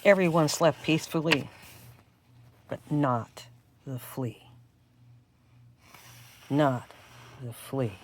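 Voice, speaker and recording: Slow-paced woman reading a rhyming storybook. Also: low voice, slight background noise. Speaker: storybook reader. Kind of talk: scripted read-aloud of a rhyming children's storybook.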